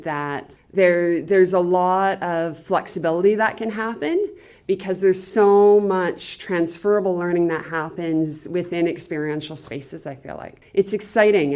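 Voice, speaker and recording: A woman's voice: on the low side, darkish tone. A woman home economics teacher giving a casual, rambling interview answer.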